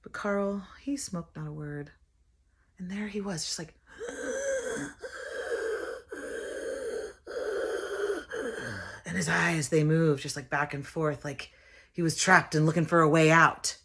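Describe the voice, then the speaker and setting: A woman playing a harried, exasperated stepfather in a theatrical monologue, telling the story in a halting, conversational way. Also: low-pitched, steady tone, a bit bright, breathy edge, heavily acted. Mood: weary, pitying. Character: harried, exasperated stepfather George recounting a Santa disaster.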